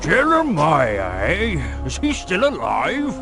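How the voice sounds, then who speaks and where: Game character: wary country fellow, high voice, swinging intonation, folksy old-fashioned speech, music underneath. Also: male voice, wildly swooping pitch, slow pace, full character voice. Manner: folksy, archaic turns of phrase. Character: rustic local man, wary, former family servant. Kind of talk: video game character acting.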